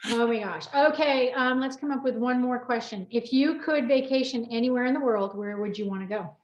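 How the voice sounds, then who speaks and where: A woman's voice: fairly high pitch, brisk. A woman chatting casually and playfully as she hosts a personal chat and asks questions, heard in a roomy, slightly dull recording. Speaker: co-author hosting a personal chat.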